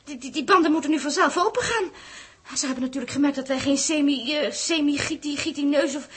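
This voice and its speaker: A woman acting an anxious, giggly young crew member in a 1960s Dutch radio drama, stammering and excitable. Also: high voice, a little fast. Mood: relieved. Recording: noisy vintage 1960s radio recording.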